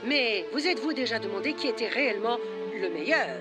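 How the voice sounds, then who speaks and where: A woman's voice: a little high, animated, brisk, loud music behind the voice, lightly acted. A woman playing a showy TV entertainment presenter in a cartoon dub, announcing with grand, teasing showmanship. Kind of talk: animated cartoon dub acting.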